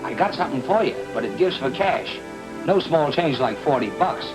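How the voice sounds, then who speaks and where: An actor playing a brash, wheedling small-time tipster in a noir radio drama, with cocky, pushy, slangy talk. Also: male voice, medium pitch, expressive, normal speed, close to an everyday voice, low-quality recording. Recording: old-time radio drama, music underneath.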